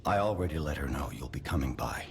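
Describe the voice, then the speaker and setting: A man's voice: very deep voice, animated, slight background noise. An actor playing a solemn male patron handing out a dangerous task. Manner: measured, solemn. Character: grave older male patron giving orders.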